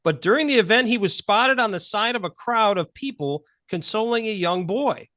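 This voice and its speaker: A man's voice: high-pitched, animated, normal speed, pushed and bright, dry recording. A middle-aged man hosting a casual talk show. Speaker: podcast host.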